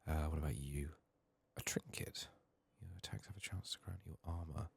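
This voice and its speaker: A man with a sick, strained voice murmuring soft-spoken ASMR gaming commentary, sometimes near a whisper, thinking aloud close to the mic. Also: steady tone, moderate pace, a little hiss or hum. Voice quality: husky, gravelly, very low. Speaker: game streamer making a playthrough.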